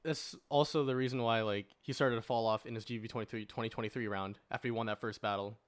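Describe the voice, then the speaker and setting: A man's voice: medium pitch, steady tone, fast talker. A man in a casual podcast debate, arguing his points at length with rhetorical questions and plenty of "like". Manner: argues at length with rhetorical questions, frequent filler "like". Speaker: podcast panelist.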